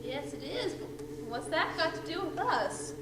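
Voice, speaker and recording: Woman as perky rabbit, very high voice, cheeky, radio comedy, noisy echoey tape. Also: sing-song, moderate pace. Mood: worried. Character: excitable, cheeky young rabbit sidekick. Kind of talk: old-time radio comedy acting.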